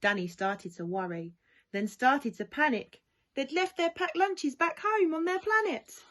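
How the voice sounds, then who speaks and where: A woman reading a rhyming children's storybook aloud in an expressive, lilting way, as school staff do for young pupils. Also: sits high, measured pace. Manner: animated, lilting rhyming delivery.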